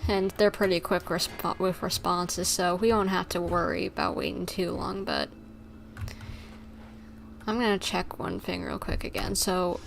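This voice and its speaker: A woman's voice: on the low side, vocal fry, slight background noise. A woman livestreamer chatting informally with her viewers, rambling and easily sidetracked. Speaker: live streamer. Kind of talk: casual livestream chat with viewers.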